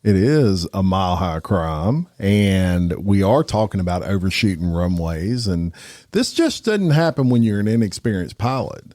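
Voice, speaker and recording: A man's voice: low voice, expressive, unhurried, a little mellow, no echo. Man, podcast co-host, folksy, dry close sound. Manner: folksy, drawling, says "y'all". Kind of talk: casual crime news chat. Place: podcast studio.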